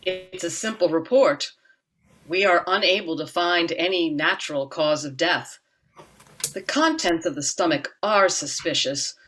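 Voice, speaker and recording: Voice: medium pitch, a little slow, a bit bright, poor audio. An actor playing a young family doctor in a radio drama, formal, cautious and careful. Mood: cautious, official.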